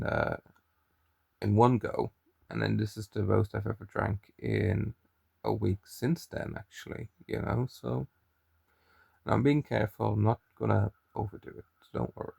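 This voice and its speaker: A man's voice: on the low side, lively intonation, normal speed, vocal fry, a little mellow, slightly rough, no room sound, clean studio sound. A young man with a mild Irish accent, chatting and rambling to camera in a daily vlog, often restarting his sentences. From Ireland. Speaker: daily vlogger. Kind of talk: casual chat to camera.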